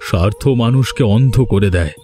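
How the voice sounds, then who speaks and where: A man's voice: lowish voice, brisk, mellow, faint music behind, natural voice. A man voicing a remorseful, humbled rich village landlord in a cartoon, speaking solemnly and confessionally. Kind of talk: Bengali cartoon voice acting.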